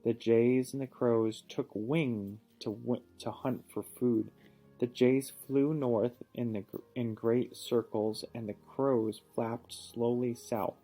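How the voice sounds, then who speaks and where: A man reading a picture book aloud to a child, pausing to ask questions and give patient encouragement. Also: medium pitch, steady tone, mellow, faint music behind. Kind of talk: storybook read-aloud with teaching asides.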